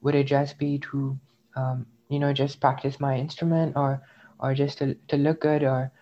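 A man's voice: medium pitch, level tone, moderate pace, warm tone, slight background noise. Young man telling his story, lo-fi. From India, raised in the UAE. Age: young adult. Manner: thoughtful, reflective storytelling. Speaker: interview guest, graduate student. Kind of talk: personal testimony in conversation.

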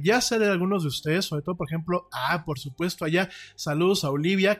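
Chatty Mexican man hosting a podcast. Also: sits high. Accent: Mexican Spanish. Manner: chatty, rambling, frequent restarts and fillers. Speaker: podcast host. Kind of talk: casual solo podcast chat.

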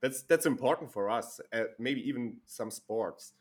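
A man's voice: medium pitch, normal speed. A young man in his twenties from Germany, a podcast guest who speaks thoughtfully with frequent halts and repeated words. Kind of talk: casual podcast chat.

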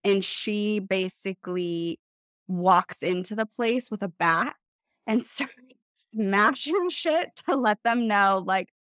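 A woman author in casual podcast chat, telling things in an animated way with lots of "like" and acted-out quotes. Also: mid-range voice, slow pace, dry recording, clean studio sound. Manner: animated storytelling, acts out imagined quotes, heavy use of "like". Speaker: author guest on a book podcast.

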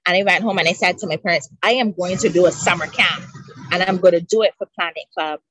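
A woman's voice: fairly low pitch, quick pace, fairly bright tone, slight background noise, poor audio. Barbadian woman chatting by the sea. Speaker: guest. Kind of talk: relaxed interview chat. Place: outdoors by the sea.

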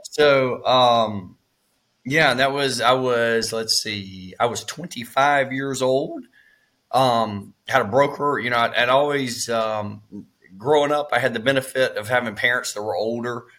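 A middle-aged man talks as a podcast guest, his voice scratchy and raspy from a cold. He rambles through memories with plenty of fillers and restarts, and his pitch sits at an ordinary middle level. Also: measured pace, a bit bright. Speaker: real estate investor guest. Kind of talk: casual storytelling interview.

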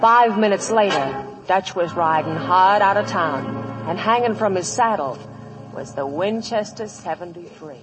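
Female narrator, played by an actress: low-pitched, folksy storytelling over music, 1950s radio western. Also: measured pace, natural voice, low-quality recording.

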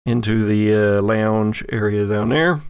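A man narrating a tabletop horror game as game master, in halting, descriptive phrases. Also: fairly low pitch, slight background noise.

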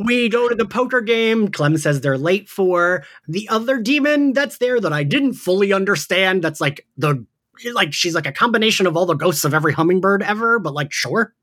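A man's voice: sits high, animated, normal speed, a bit bright. A man hosting a casual recap podcast, speaking in an enthusiastic, gushing way. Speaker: podcast host. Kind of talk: casual podcast chat recapping an audio drama.

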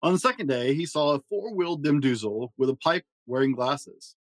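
A man reading a children's fairy tale aloud in a gentle storytelling manner. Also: normal speed, dry recording. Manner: gentle storytelling with varied pitch for emphasis. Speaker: storybook reader.

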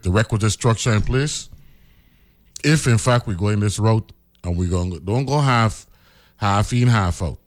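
A man's voice: lowish voice, darkish tone. A middle-aged man from the Virgin Islands with a Virgin Islands accent, a radio host telling a story in casual commentary and often tagging his sentences with "right?". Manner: conversational storytelling, keeps asking "right?".

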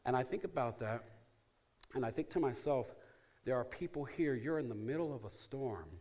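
A middle-aged man preaching a sermon as pastor, repeating phrases for emphasis and swinging from loud calls to hushed asides. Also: mid-range voice, lively intonation, normal speed.

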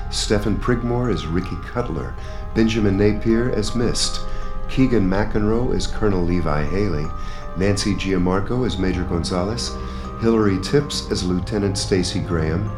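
Low male announcer over guitar music. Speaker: credits announcer. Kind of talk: scripted credits read. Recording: roomy echo under music. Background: plucked guitar music.

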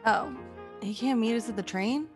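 A woman playing a gently sceptical, concerned friend and narrator in a classic radio suspense play. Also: fairly high pitch, normal speed, over music, lightly acted. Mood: curious, gently doubting.

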